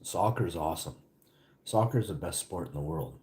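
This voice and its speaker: Male streamer, deep mellow voice, rambling casual chat with viewers. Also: expressive, a little fast. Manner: rambling, chatty, answers chat questions between bites. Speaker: live streamer.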